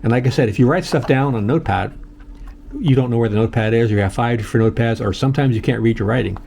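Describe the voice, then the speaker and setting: An older American man giving a casual, unscripted tutorial in a halting, plain-spoken way, often repeating himself. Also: medium pitch, vocal fry, a little mellow, a little hiss or hum. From United States. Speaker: host of a how-to video channel.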